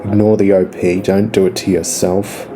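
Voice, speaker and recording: A man playing a bitter, regretful online commenter, reading a forum post gravely within a short story. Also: low voice, little pitch movement, moderate pace, over music.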